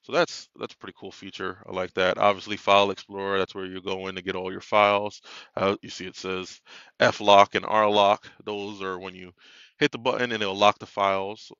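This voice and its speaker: A man who drives a flatbed truck, filming from inside his cab on a handheld phone and talking through a product in a casual, rambling way with lots of fillers. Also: sits low, brisk, smooth, no room sound, lo-fi sound. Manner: rambling, filler-heavy, self-correcting. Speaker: truck driver reviewing a dash cam. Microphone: phone held while filming the dashboard.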